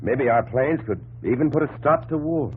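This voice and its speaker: An actor playing a thoughtful inventor in a 1950s radio drama, musing and hesitant as in idle family chat. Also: male voice, mid-range voice, lively intonation, moderate pace, husky. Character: thoughtful, slow-spoken male inventor, chatting idly with brother. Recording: tinny 1950s radio broadcast.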